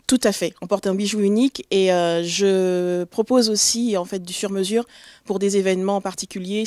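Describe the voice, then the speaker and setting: Woman from togo, interview guest, halting speech full of fillers, dry close sound. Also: on the low side, no room sound. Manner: hesitant, many fillers, warm and proud. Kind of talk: interview answers.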